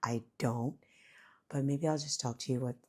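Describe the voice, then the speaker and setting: A woman talks softly at home, her voice hoarse and fading from illness. She speaks in a very deep register with little rise and fall, wandering through her thoughts in an unhurried, reflective monologue. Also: steady tone. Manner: soft-spoken, rambling, thinking aloud. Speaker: vlogger. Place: at home, speaking quietly while her son sleeps.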